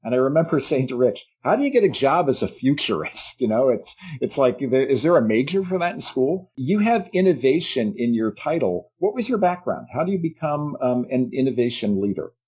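A man's voice: mid-range voice, big pitch swings, fairly quick, hardly any pauses, a little mellow, slightly rough. A man hosting a podcast, asking questions in a chatty way and then closing the episode. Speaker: podcast host. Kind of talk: interview hosting and scripted outro.

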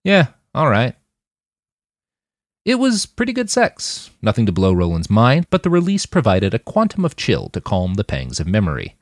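A man's voice: lowish voice, huge pitch swings, brisk, few pauses, no room sound, clean studio sound. A man reading scripted fiction as an audiobook narrator.

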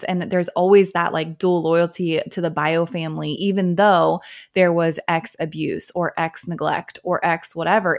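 A woman hosting a casual interview, talking in a rambling, loose way and laughing often. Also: lowish voice.

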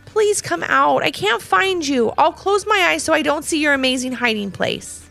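A curious young girl in a storybook, played by a woman. The voice is high and very animated. She is excitable and a touch skeptical, full of questions and exclamations. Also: unhurried, soft background music, heavily acted. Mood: coaxing.

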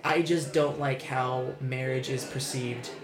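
A man's voice: mid-range voice, steady tone, a little slow, echoey room, music in the background. A young man, talking candidly and rambling as a podcast host, with lots of restarts and swearing. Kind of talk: casual podcast chat.

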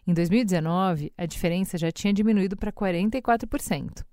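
A Brazilian woman co-hosting a podcast, narrating news background in a measured, explanatory way. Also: lowish voice, dark tone, no room sound, studio quality. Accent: Brazilian Portuguese. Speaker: podcast co-host.

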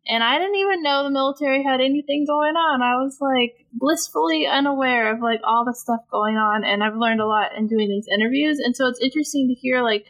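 Young woman, interview host, slightly high voice, casual, relaxed, filler-heavy. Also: a little fast, few pauses, lo-fi sound.

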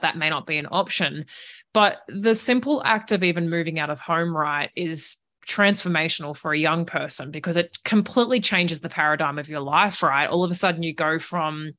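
A woman with a low voice speaks earnestly and encouragingly. She often checks in with "right?" as she chats casually. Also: sharp tone. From small town in Australia or New Zealand. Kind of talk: casual conversation.

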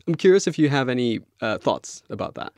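A man's voice: medium pitch, very animated, a little fast, a little mellow, no echo, studio quality. A man hosting an interview podcast, speaking in a considered, conversational way. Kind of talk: conversational interviewing, scripted credits.

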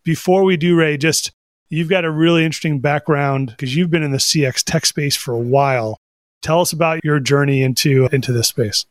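A man's voice: fairly high pitch, fairly quick, dry recording. A man hosting a podcast interview, enthusiastic and casual, dropping in "like" as he talks. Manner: enthusiastic, casual, uses fillers like "like". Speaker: podcast host.

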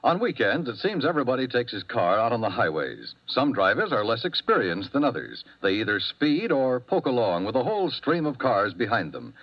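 Lively, declamatory vintage radio announcer. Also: male voice, medium pitch, fairly quick, hardly any pauses. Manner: formal, declamatory. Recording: dull old radio broadcast.

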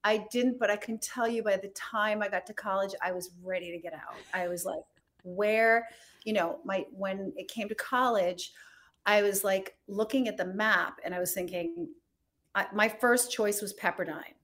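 A woman from Rhode Island, a fashion designer guest, talking in a relaxed, chatty way full of fillers. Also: medium pitch, moderate pace. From United States, Rhode Island. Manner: chatty, relaxed, many fillers like "like" and "you know". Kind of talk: casual interview chat.

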